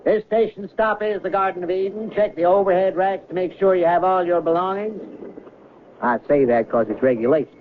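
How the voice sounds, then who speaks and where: A man's voice: high-pitched, brisk, close to an everyday voice. Man playing an officious train conductor, tinny sound. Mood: matter-of-fact. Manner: questioning, officious, matter-of-fact. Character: brisk, matter-of-fact male conductor of a magical train. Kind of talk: 1970s radio drama acting. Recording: thin phone-like old radio recording, noisy.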